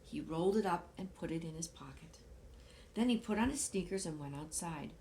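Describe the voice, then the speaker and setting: A woman reading a children's picture book aloud in an animated, expressive way with dramatic emphasis. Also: lowish voice, a little fast, slight background noise, rough recording. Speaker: storybook reader.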